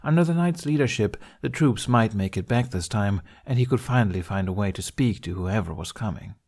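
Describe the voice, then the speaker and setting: A man's voice: on the low side, expressive, brisk, a little mellow. A man reading scripted fan fiction aloud as an amateur story narrator.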